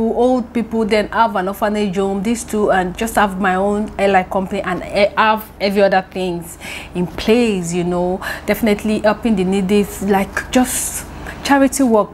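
A woman's voice: medium pitch, a little mellow, a little hiss or hum. A young Nigerian woman with a Nigerian accent, whose first language is Yoruba, chatting to camera as she answers viewer questions in an indoor room on a lapel mic; she talks expressively, laughs often and repeats words for emphasis. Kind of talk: casual Q&A to camera. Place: indoor room with pink wall, seated on sofa.

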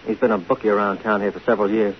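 An actor playing a gruff, sardonic police lieutenant in a 1950s radio detective drama. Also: male voice, fairly low pitch, little pitch movement, racing, natural voice. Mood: terse, sardonic. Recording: hissy, muffled old radio recording.

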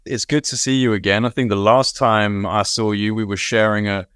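Host, man, 30s; relaxed and conversational, smooth clear voice, dry close webcam sound. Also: fairly low pitch, moderate pace, dry recording. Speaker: podcast host. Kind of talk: conversational interview. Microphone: video call webcam.

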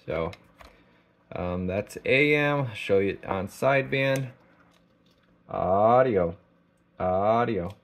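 A man giving a casual product demonstration as a technician, thinking aloud in a halting, informal way. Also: on the low side, animated, slight background noise. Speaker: radio technician. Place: small indoor electronics workshop.

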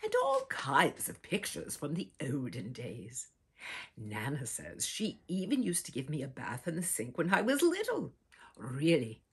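A woman in her sixties performs a children's read-aloud. Her voice is lowish and very husky, swinging dramatically across a huge range and sinking into breathy whispers. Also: wildly swooping pitch, unhurried. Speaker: storyteller, host. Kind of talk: theatrical children's picture-book read-aloud. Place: small furnished room indoors with bookshelves.

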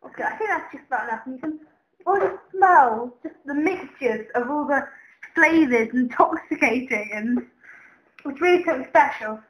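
A woman's voice: high voice, expressive, moderate pace, a bit bright. A young woman giving a mock cookery demonstration as presenter. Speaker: cooking show presenter. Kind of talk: mock cooking show demonstration. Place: home kitchen. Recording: echoey kitchen, faint background noise.

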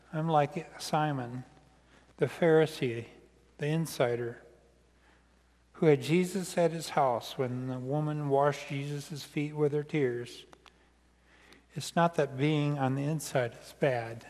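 An older American man delivers a sermon in a fairly high voice. He phrases deliberately and pauses often, as if reading from notes. His words ring with the long reverb of a church sanctuary. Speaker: pastor preaching. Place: large church sanctuary, indoors, seated at the front. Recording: reverberant church hall sound.

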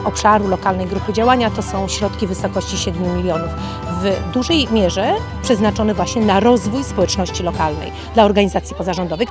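Middle-aged woman at an outdoor fest, lots of ums, handheld mic, music going behind. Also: medium pitch. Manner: halting, many filler sounds. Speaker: local development group representative. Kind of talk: outdoor interview statement. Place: outdoor festival. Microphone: handheld reporter mic. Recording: music clearly audible behind.

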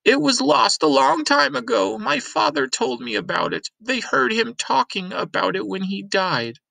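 Man putting on a high-pitched, rambling old granny. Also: expressive, moderate pace, gravelly, sharp tone, no echo, heavily acted, low-quality recording. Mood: preoccupied. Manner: wistful reminiscing. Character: rambling, forgetful old grandmother.

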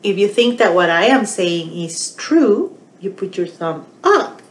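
A middle-aged woman leads a children's storytime in a roomy, echoey space. Her pitch swings in a lively way and she takes her time, talking straight to the little ones. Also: measured pace. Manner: animated, addressing little children directly. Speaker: children's librarian hosting storytime. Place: small indoor room. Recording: roomy, echoey home webcam sound.

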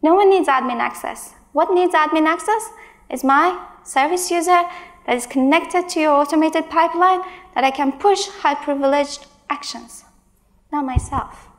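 High-pitched woman giving a tech talk. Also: moderate pace. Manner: emphatic, exasperated asides. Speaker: conference speaker. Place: large stage in a hall.

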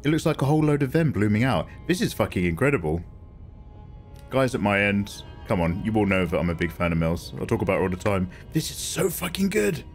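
A man with an English accent, chatting excitably on his live stream and swearing freely. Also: on the low side, brisk, soft background music. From England. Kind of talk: casual live-stream chat.

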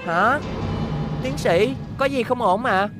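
A man voicing a cheerful, polite young robot boy in a cartoon dub. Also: slow. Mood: polite, eager. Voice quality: high boyish voice. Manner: polite and eager. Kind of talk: cartoon dubbing. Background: loud music score.

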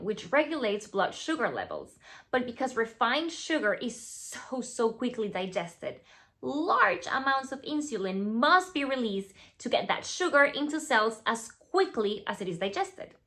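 Playful Venezuelan woman, bright voice. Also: on the high side, normal speed. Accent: Venezuelan Spanish. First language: Spanish. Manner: playful, animated, drops to asides and whispers. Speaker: skincare brand founder. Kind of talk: scripted talk to camera. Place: plain studio backdrop, indoors.

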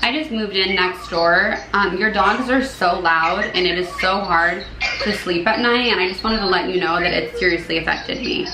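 A woman's voice: lowish voice, normal speed, noisy background, lightly acted. A young woman playing a polite, wordy middle-aged neighbour making an earnest complaint on a prank call. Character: polite, put-upon 48-year-old neighbour mother complaining. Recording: roomy echo with music behind.

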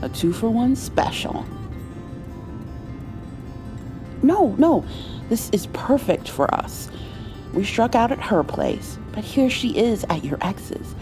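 A woman playing a caring, playful mother in an intimate ASMR roleplay, soothing her grown child with gentle, halting speech and endearments. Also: a little high, big pitch swings, music in the background. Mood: playful, scheming. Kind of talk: intimate comfort ASMR roleplay, acted as one side of a conversation.

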